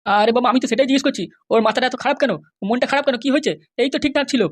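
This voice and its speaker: A woman's voice: high voice, rapid-fire. A woman voicing a middle-aged father and local politician in a cartoon, exasperated, grumbling and full of bluster. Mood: baffled, irritated. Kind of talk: cartoon dialogue.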